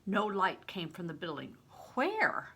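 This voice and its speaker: An older woman with a breathy voice, reading a novel aloud and giving the characters voices of their own. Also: lowish voice, animated, unhurried, a bit bright. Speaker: reader.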